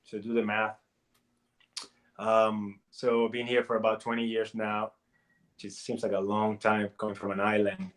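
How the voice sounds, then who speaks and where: A man's voice: on the low side, monotone, moderate pace. A man in his forties from Puerto Rico, a native Spanish speaker, talking as a guest in a casual interview with many restarts and fillers. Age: 40s. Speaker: guest artist.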